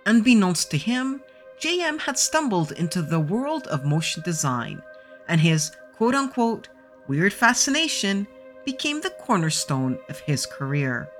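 A woman's voice: mid-range voice, big pitch swings, a little slow, soft background music. A woman hosting a podcast, reading a scripted introduction and asking interview questions in a conversational way. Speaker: podcast host.

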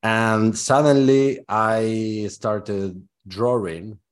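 A man's voice: on the low side, fairly even intonation, very slow, smooth. A man in his forties from Spain, speaking English with a Spanish accent, answering interview questions in a thoughtful, loose way full of fillers. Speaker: guest artist.

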